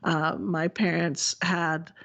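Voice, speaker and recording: A woman's voice: on the low side, level tone, vocal fry, fairly bright tone, highs cut off. Blunt woman from the Texas Panhandle. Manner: blunt, swears casually, quick asides. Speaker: podcast co-host. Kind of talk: casual chat.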